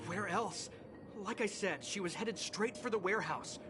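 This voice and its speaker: Man playing a higher-voiced flustered craftsman over music. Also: moderate pace, lightly acted. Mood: nervous, defensive. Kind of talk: English video game voice acting. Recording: music behind the voice.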